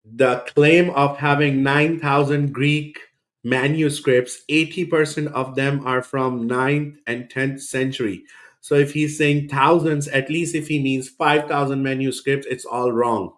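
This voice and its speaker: A man's voice: mid-range voice, fairly even intonation, a little slow, fairly bright tone. A man hosting a reaction video, rebutting a podcast clip in a scornful, sarcastic tone. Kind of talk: reaction commentary.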